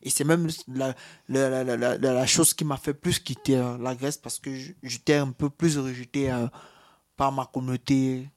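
A man's voice: medium pitch, steady tone, normal speed, a little mellow. A man from Cameroon with a Cameroonian French accent, giving a personal testimony in an interview, hesitant with many fillers and restarts. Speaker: interviewee, asylum seeker.

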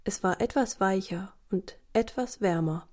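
Female storyteller for children's radio play. Warm, mellow, medium pitch, scripted narration. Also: a little slow.

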